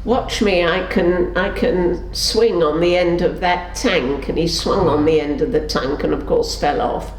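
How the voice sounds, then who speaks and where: An older woman recounts her memories at an unhurried pace, her voice sitting a little low. She brings her story's characters to life as she quotes them. The sound is roomy, with a faint hiss behind it. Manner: storytelling, acts out quotes with pitch shifts. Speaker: interviewee. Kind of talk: oral history interview.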